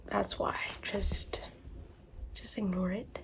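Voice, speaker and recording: A woman's voice: mid-range voice, takes it slowly, creaky, in a whisper, low-quality recording. A sick, congested woman chatting casually in a book vlog, rambling and thinking aloud as she goes. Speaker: book vlogger. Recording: steady background noise.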